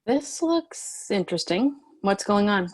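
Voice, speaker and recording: A woman's voice: mid-range voice, animated, a little slow. A woman playing a wary, assertive leader of refugees, direct and questioning, in a screenplay table read. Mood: brisk, teasing.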